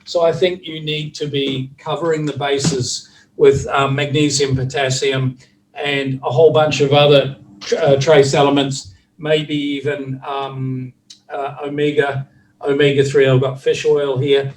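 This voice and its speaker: A man's voice: mid-range voice, little pitch movement, unhurried, distant mic, slight background noise, rough recording. A man with a New Zealand accent, chatting casually on a video call as a recovery specialist, with lots of fillers and restarts.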